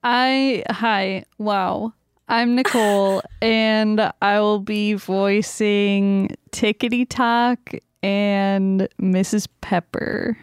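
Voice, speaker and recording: Casting: woman guest, very unhurried, smooth clear voice, dry mic. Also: medium pitch, steady tone, dry recording. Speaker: podcast guest.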